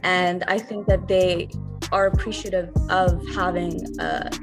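A woman's voice: fairly low pitch, level tone, unhurried, over music. A young woman, an R&B singer-songwriter, answering interview questions in a thoughtful, earnest way, with many fillers and restarts.

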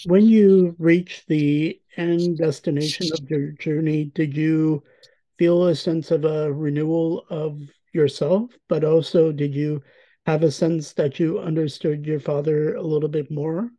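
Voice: medium pitch, steady tone, a little slow, dark tone. A host asking a guest long, friendly questions and reading out event dates, with the odd filler. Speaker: interviewer, host. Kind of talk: interview questions and event announcement.

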